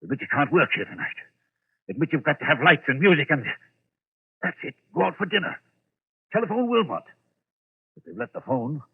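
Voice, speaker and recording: A domineering man coming apart with dread, in old radio suspense style. His voice sits fairly high and sounds rough and husky, stammering when rattled. The sound is thin, narrow vintage radio. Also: very animated, fairly quick, long pauses, exaggerated voice. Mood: brooding, uneasy. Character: domineering, haunted man, frantic and near breaking.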